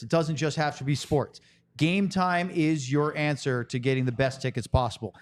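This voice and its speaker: Male sports podcast host, slightly high voice, conversational but able to deliver sponsor copy. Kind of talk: conversational hosting and ad reads.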